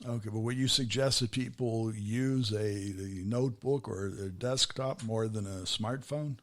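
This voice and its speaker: A man's voice: lowish voice, unhurried. A middle-aged American man hosting a podcast interview, asking questions in a loose, rambling way with restarts. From United States. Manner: conversational, asks questions in rambling runs with restarts. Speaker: podcast host.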